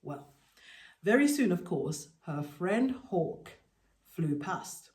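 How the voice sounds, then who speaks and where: A woman storyteller narrating a folktale expressively, with deliberate, dramatic phrasing and emphatic pauses. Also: fairly low pitch, big pitch swings, a little mellow, echoey room. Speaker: storyteller, podcast host.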